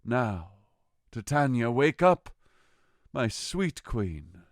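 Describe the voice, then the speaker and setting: An actor playing a commanding fairy king in a modernized Shakespeare audio drama, with theatrical, expressive delivery. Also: medium pitch, drawn-out words, dry recording, studio quality. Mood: gentle, solemn. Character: commanding fairy king, scornful yet relenting. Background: music.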